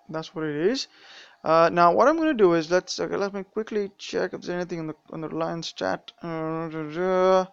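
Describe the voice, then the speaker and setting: A young man streaming a mobile game, his accent a mix of British and Indian. He rambles through the menus with plenty of ums and restarts, in a smooth, clean voice. The sound is dry but a little dull, like a modest home mic. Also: on the high side, no echo. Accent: mixed British and Indian. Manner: rambling, frequent fillers and restarts. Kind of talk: casual live-stream commentary.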